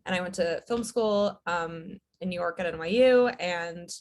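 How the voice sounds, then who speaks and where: A woman in her thirties, a writer-director guest, chatting in a relaxed way with lots of "um"s. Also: lowish voice, measured pace, slight background noise. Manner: relaxed, fillers, light laughs. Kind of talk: casual interview chat.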